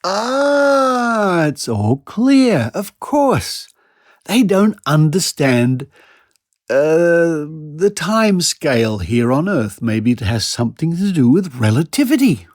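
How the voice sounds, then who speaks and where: A man's voice: on the high side, very animated, slow, no echo. A male podcast host with an Australian accent, commenting with dry, mock-incredulous sarcasm.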